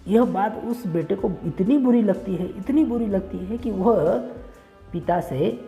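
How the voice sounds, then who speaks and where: Mellow male storyteller over music. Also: high voice, unhurried, gravelly, highs cut off. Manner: simple, repetitive, explanatory phrasing. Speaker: storyteller and channel host. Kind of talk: moral storytelling with direct address to listeners.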